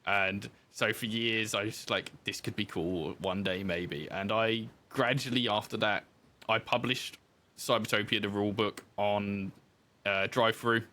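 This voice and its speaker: A man's voice: on the low side, steady tone, unhurried, bright, forceful tone. A man telling his story in casual interview chat, informal and self-deprecating, as a podcast guest who designs games.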